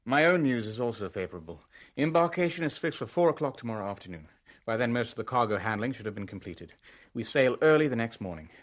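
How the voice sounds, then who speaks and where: An actor playing a composed, wary businessman and shipowner in a radio drama, speaking in a measured, polite, understated way. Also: medium pitch, lively intonation, natural voice. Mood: polite, businesslike. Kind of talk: radio drama narration and dialogue.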